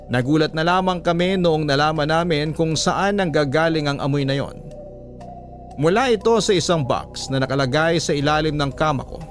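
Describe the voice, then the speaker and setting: A man reads a dramatic story as a radio narrator. His pitch sits a little above average for a male voice. Quiet music runs beneath the narration. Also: moderate pace. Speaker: narrator reading a listener's letter. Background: soft background music.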